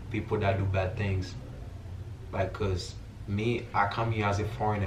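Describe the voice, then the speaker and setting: Low-voiced young Haitian man, echoey room. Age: young adult. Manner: informal, slangy, repeats filler phrases like "you feel me". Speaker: vlogger. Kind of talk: casual, earnest personal talk to viewers. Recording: roomy echo, distant mic, faint noise.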